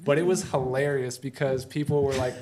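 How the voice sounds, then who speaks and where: A man's voice: medium pitch, fairly even intonation, fairly quick, darkish tone. Amused man in his thirties telling a story. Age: 30s. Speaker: podcast guest. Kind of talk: casual chat.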